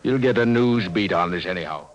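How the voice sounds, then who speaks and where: A man's voice: low-pitched, a little fast, music in the background, natural voice. An actor playing a brusque, breezy city man with slangy talk in 1940s radio drama style. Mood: brusque, casual. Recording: dull, noisy old radio recording.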